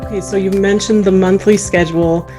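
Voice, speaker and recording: A woman's voice: mid-range voice, steady tone, a little fast, music in the background. A woman hosting an interview podcast, talking in an enthusiastic manner.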